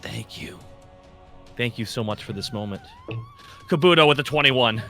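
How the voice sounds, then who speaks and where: A man's voice: mid-range voice, animated, moderate pace. A man taking his turn as a player in a tabletop game, chatting casually and calling out his rolls. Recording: music playing behind the voice.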